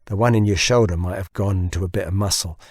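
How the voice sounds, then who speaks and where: Audiobook role: practical male sidekick, matter-of-fact, reassuring, husky narrator acts it. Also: low-pitched, fast, darkish tone, dry recording, clean studio sound. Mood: brisk, reassuring.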